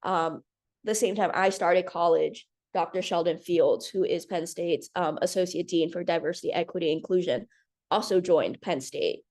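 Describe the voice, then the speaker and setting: A woman's voice: lowish voice, normal speed, darkish tone. A young woman in her twenties from Hong Kong, a new nursing graduate giving a slide presentation with many ums. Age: 20s. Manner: earnest, nervous, with frequent ums. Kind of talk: presentation over video call.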